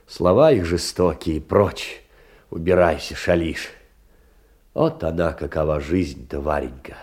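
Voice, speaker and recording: An actor playing a poor, meek, aggrieved middle-aged clerk in a theatrical audio drama, plaintive and tender with agitated outbursts. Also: male voice, very deep voice, darkish tone, exaggerated voice. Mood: bitter, weary. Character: poor, meek, aggrieved clerk pouring out his heart in letters.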